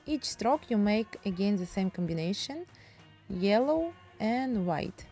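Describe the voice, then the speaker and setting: Slow-talking woman with a Russian accent. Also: medium pitch, expressive, dark tone, soft background music. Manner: chatty, broken English, laughs at herself. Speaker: painting tutorial host. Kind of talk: casual painting demonstration.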